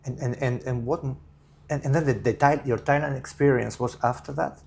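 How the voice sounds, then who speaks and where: A man's voice: mid-range voice, fairly even intonation, moderate pace, creaky, a little mellow. A man hosting an interview, asking casual questions hesitantly and repeating words as he goes. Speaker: interview host.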